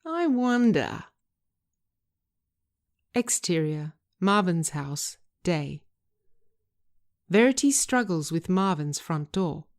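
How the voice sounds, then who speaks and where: A woman's voice: on the low side, lively intonation, slow. A woman reading scripted screenplay narration as its writer and narrator.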